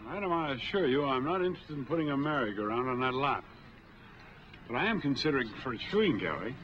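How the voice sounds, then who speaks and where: An actor playing a smooth-talking middle-aged drifter who asks questions, in 1940s film acting style. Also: male voice, medium pitch, sing-song, fast, a bit bright. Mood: wry. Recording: muffled, noisy 1940s film soundtrack.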